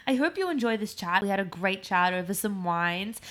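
A young woman hosts a casual podcast chat, her voice nasal and stuffy from a cold. She talks loudly and keeps the conversation bubbly. She laughs often between her lines. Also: mid-range voice, animated, brisk. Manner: loud and chatty, laughs often. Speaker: podcast host.

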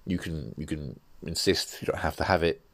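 A middle-aged man hosting a solo podcast, talking in a measured, rambling way and often correcting himself, his voice nasal from a cold. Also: a bass voice, gravelly, fairly bright tone, no echo. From United Kingdom. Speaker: podcast host.

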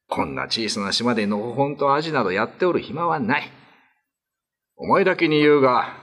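A man's voice: mid-range voice, fairly bright tone, breathy edge. A man playing a greedy, foolish, ambitious island lord in a radio drama, with pompous, old-fashioned lordly speech.

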